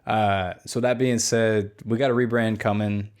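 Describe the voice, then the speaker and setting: A man's voice: fairly low pitch, fairly even intonation, normal speed. Rambling young man hosting a podcast. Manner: rambling, lots of fillers and restarts. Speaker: podcast host. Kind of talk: casual podcast chat.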